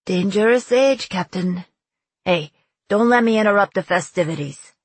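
Jovial Coast Guard guy from a storybook performed by a woman, Brooklyn accent, loud, hearty, informal, slightly husky. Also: mid-range voice, expressive, normal speed, no room sound. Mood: hearty, teasing. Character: jovial Brooklyn Coast Guard man, chatty old acquaintance.

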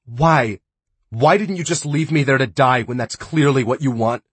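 A man voicing a defiant, distraught young woman who shouts in protest. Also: a little high, animated, unhurried, breathy edge, no room sound, voice pushed up, full character voice. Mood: angry distraught.

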